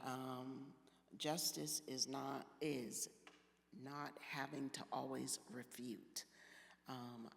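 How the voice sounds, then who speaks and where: A woman sharing sincere, reflective remarks in a discussion, deliberate and measured. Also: very deep voice, gravelly. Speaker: discussion participant. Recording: echoey hall.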